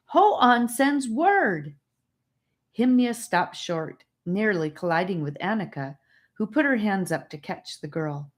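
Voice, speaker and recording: Woman, audiobook narrator, low pitch, expressive swoops, scripted storytelling. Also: moderate pace.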